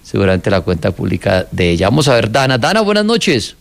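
A man's voice: on the low side, very animated, brisk, creaky. Joking Colombian man hosting a stream. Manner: loose, joking, slangy. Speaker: live stream host. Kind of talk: casual live stream chat.

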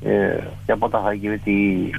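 A man, rambling about football on a radio show in a halting, slangy way. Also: fairly low pitch, little pitch movement, creaky, phone-quality sound. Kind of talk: casual football talk on radio.

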